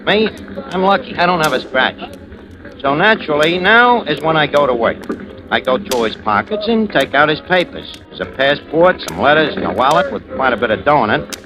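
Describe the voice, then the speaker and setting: A wisecracking New York crook in an old radio drama. His voice is fairly high and swings around a lot, full of slang and bad grammar, with music behind it. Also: very animated, brisk, dull audio. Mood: smug storytelling. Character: shifty, wisecracking American crook posing as a gentleman. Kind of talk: 1940s radio acting, first-person narration.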